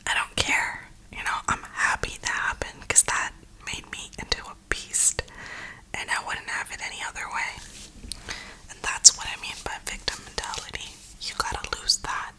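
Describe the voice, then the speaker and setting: A woman speaking in a soft, breathy whisper, giving an earnest, reflective, motivational ASMR ramble close to the microphone. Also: moderate pace, rough recording. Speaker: ASMR creator and gamer.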